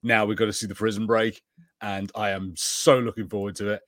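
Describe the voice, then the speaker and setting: A man's voice: fairly low pitch, level tone, brisk, a bit bright, no echo. A man co-hosting a podcast, chatting casually and enthusiastically in a review. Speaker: podcast co-host.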